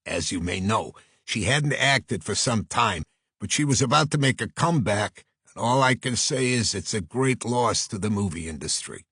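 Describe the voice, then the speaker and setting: A man's voice: lowish voice, normal speed, slightly rough, no room sound, clean studio sound, heavily acted. A man voicing an earnest, effusive New York talent agent with a Brooklyn accent in an audiobook. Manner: effusive, flattering, salesman-like. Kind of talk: audiobook character voice.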